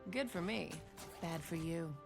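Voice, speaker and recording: A woman's voice: lowish voice, measured pace, creaky, faint music behind. An actress voicing a brash, sardonic female space bounty hunter in a video game, with dry, taunting delivery. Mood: cocky. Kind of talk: video game character voice lines.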